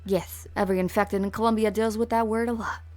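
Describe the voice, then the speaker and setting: A woman's voice: medium pitch, fairly even intonation, fast, soft background music, close to an everyday voice. A woman voicing a jaded, hard-bitten police officer in a game fan dub. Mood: bitter, resigned.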